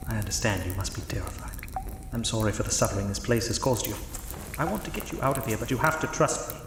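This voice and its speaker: An echoing, cavernous space with loud noise surrounds an old priest. He is pious and compassionate, pleading earnestly and solemnly in horror audio drama style, with alarm under his words. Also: on the low side, lively intonation, fast talker. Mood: gentle, coaxing. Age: older. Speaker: actor playing a priest. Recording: echoey cavernous reverb, loud background noise.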